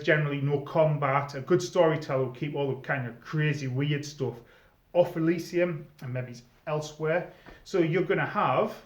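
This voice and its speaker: British man vlogging in an echoey room. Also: on the high side. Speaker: video host, experienced live role-player. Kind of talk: informal advice vlog talking to camera. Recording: roomy echo, slightly dull sound.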